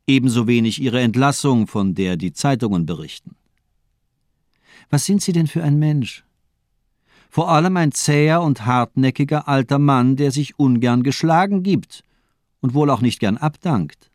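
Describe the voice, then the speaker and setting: A coldly ironic, deliberate villainous doctor, played by a man. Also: mid-range voice, expressive, darkish tone, no echo, studio quality, natural voice. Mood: coolly analytical. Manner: eloquent, deliberate, coolly ironic phrasing. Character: cold, cynical, calculating male doctor and murderer. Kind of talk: German radio crime drama acting.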